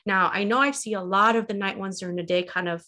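A woman's voice: medium pitch, steady tone, fast talker, sharp tone. A woman guest on a podcast, a little stuffy-nosed, explaining in an easygoing way with many fillers and "like"s. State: stuffy nose. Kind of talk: casual podcast chat.

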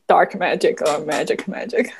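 A woman's voice: fairly low pitch, moderate pace, a little hiss or hum. A woman whose first language is Cantonese, speaking as a podcast guest in a thoughtful, reflective way with frequent fillers. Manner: thoughtful, soft-spoken, many "like" fillers, quiet laughs. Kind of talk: reflective conversation.

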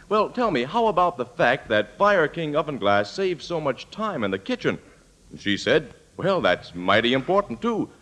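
A man's voice: medium pitch, fairly bright tone. A man delivering a polished, scripted 1940s radio commercial as an announcer. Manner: polished, anecdotal salesmanship.

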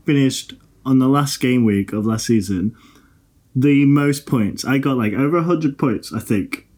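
A man's voice: mid-range voice, measured pace, darkish tone, husky. A man, co-hosting a casual podcast, rambling and swearing freely between laughs. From Liverpool, England. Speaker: podcast co-host. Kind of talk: casual banter.

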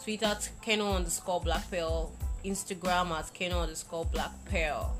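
A Nigerian woman co-hosting a podcast, chatting in an animated, playful way. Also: lowish voice, faint music behind. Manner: animated, playful, quick bursts of laughter. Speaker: podcast co-host. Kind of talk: casual chat.

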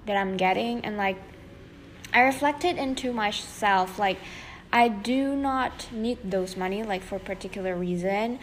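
A woman's voice: mid-range voice, a little slow, poor audio. Young female podcast host, loose chatty delivery full of "like", faint background hiss. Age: young adult. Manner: chatty, many fillers and "like". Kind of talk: casual solo podcast talk.

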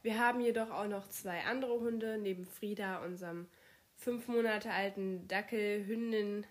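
A woman's voice: mid-range voice, fairly even intonation, moderate pace. A young German woman hosting her podcast while she has a cold, her voice strained, chatting casually with many fillers. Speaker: podcast host. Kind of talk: casual solo podcast chat.

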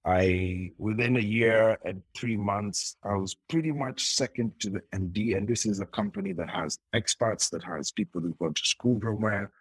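Low, husky-voiced young man telling his story. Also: normal speed, few pauses, dry recording, rough recording. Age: young adult. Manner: rambling with many restarts and laughs. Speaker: guest. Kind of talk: casual storytelling interview.

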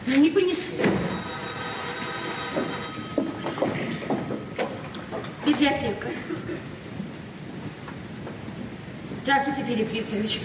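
A woman's voice: high-pitched, animated, very fast. An actress in a radio play as a naive, talkative librarian in her forties, speaking hesitantly and repeating herself. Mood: apologetic, hesitant. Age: 40s. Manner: flustered, hesitant, chatty, repeating words. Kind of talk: naturalistic Soviet radio drama acting. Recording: echoey, distant, noisy 1970s radio recording.

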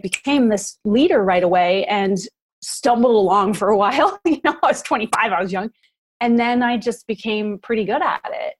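Woman, forties, podcast guest, candid, reflective, middle pitch. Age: 40s. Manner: candid and reflective, quick bursts with laughs. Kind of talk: casual interview chat.

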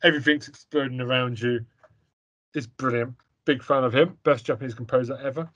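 A man's voice: mid-range voice, fairly even intonation, brisk, a bit bright, muffled recording. A young man co-hosting a podcast, chatting about a film in an opinionated, rambling way with lots of fillers and repeated words. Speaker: podcast co-host. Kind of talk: casual chat reviewing a film.

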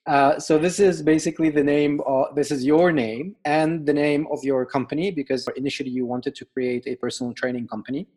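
A man's voice: a little high, steady tone, brisk, hardly any pauses, darkish tone. A man hosting a podcast, reading a scripted intro and then interviewing his guest with enthusiasm. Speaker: podcast host and coach.

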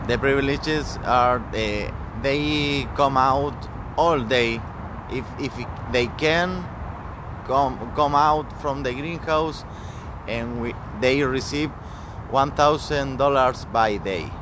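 Slow halting man with Chilean accent. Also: mid-range voice, noisy background. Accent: Chilean Spanish. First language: Spanish. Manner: halting English with many restarts and fillers. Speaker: guest. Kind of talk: interview.

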